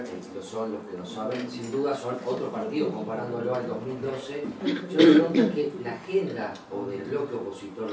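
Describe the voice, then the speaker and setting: A man in the audience of a forum putting a long, emphatic, roundabout question. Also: mid-range voice. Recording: very echoey hall, distant, noisy.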